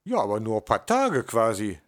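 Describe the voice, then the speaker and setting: A man's voice: on the low side, huge pitch swings, moderate pace. An older man in casual chat, grumbling and complaining, with frequent hesitations and fillers. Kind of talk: casual chat between two older workers.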